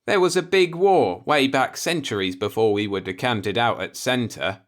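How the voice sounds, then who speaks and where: A man's voice: mid-range voice, lively intonation, normal speed, voice pushed up, close to an everyday voice. A man voicing an earnest, law-abiding and easily alarmed man in a science-fiction audiobook, with an insistent manner. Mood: earnest, defensive. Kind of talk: audiobook character voice in a sci-fi story.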